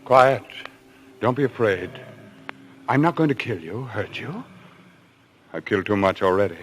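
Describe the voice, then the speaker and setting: Low-voiced, haunted big game hunter. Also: male voice, animated, unhurried, slight background noise. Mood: soothing, brooding. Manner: hesitant, defensive, dramatic. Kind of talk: old-time radio thriller acting.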